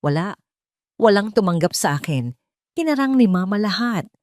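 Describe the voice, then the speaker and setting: A woman voicing a proud, desperate jobless man who once ran a hotel, speaking in loud, indignant outbursts. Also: medium pitch, sing-song, normal speed, no echo, clean studio sound, lightly acted. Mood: bitter.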